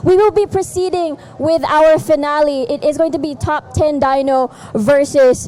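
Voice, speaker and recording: A woman's voice: sits high, a little fast, dark tone, slight background noise. A woman hosting post-match player interviews at an esports event. She sounds upbeat and stumbles a little over her words. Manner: upbeat, with small verbal stumbles.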